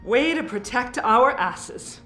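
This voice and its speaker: An actress playing a bitter, sharp-tongued scientist in a video game, sarcastic and accusing. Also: female voice, on the high side, animated, slow. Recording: roomy game audio with music.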